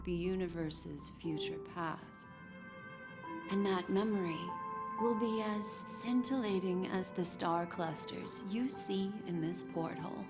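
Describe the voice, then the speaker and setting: A woman's voice: lowish voice, measured pace. An actress voicing an enigmatic, teasing and seductive woman in a video game, poised and coy with lingering, theatrical phrasing. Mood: solemn, admiring. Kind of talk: scripted video game character dialogue. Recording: clean voice over game music.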